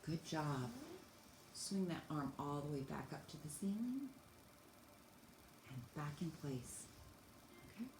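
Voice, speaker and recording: Echoey, low-voiced woman leading stretches. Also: animated, takes it slowly, long pauses, darkish tone. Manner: encouraging, simple step-by-step cues. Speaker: teacher leading a children's yoga stretching class. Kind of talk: instructional exercise guidance, demonstrating while talking. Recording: echoey room, faint background noise.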